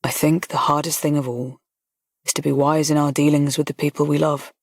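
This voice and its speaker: A composed, good-natured colonel, read by a woman, low-pitched and even, talking plainly and gently. The voice is close and dry, with no room sound. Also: fairly quick, breathy edge, no room sound. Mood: reassuring. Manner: pleasant, steady, gently frank. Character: kind, calm older male colonel. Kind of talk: audiobook character voice.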